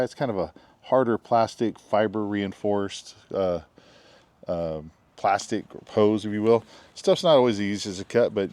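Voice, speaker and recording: A man's voice: lowish voice, darkish tone, dry recording. Chatty man with a head cold. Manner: chatty, jokey, says "guys" and "bam" a lot. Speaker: knife reviewer. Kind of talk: casual product demo. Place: backyard, outdoors.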